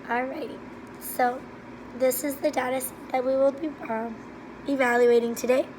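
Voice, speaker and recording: A woman whose voice is hoarse and worn from laryngitis talks through a recorded presentation, one step after another. Her voice sits a little high and hardly rises or falls. A faint hiss lies under her words. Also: moderate pace, low-quality recording. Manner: steady, methodical, step-by-step. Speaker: student presenter. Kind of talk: recorded slide walkthrough.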